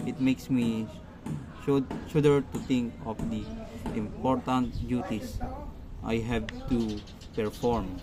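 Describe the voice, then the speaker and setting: A man playing a self-important scholarly magistrate in an amateur radio drama, speaking formally and haltingly. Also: medium pitch, lightly acted. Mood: formal, proud. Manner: halting, stumbling delivery with formal wording. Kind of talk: amateur stage-style radio drama reading. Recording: noisy amateur recording with steady background noise.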